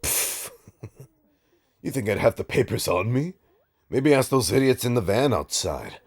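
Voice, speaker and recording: A man playing a bitter, taunting supervillain in an audio roleplay, with a raspy, gravelly voice and a sardonic, theatrical, halting delivery. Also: mid-range voice, sing-song, darkish tone, full character voice. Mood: sneering, irritated. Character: menacing, taunting male supervillain, bitter, grudgingly rehabilitating. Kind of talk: scripted audio roleplay drama.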